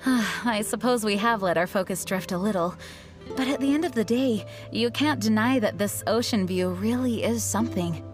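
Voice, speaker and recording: A woman playing a responsible, kindly young female leader in an anime-style video game, measured and formal. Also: mid-range voice, music in the background, close to an everyday voice. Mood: composed, considerate.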